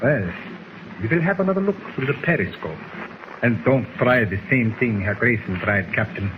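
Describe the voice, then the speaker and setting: An actor playing a cold, gloating German villain in 1940s radio drama style. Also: male voice, medium pitch, animated, normal speed, close to an everyday voice. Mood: scornful. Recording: noisy muffled 1940s radio recording.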